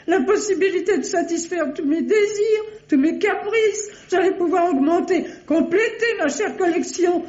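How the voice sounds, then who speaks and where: An obsessive woman collector confessing a crime, self-pitying and unrepentant. Her voice is high and theatrical, in old radio mystery style. The recording is dull and reverberant. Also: a little mellow. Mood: elated, tearful. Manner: theatrical, emotive, drawn-out confession. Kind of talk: 1950s French radio mystery acting. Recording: dull, echoey vintage radio drama recording.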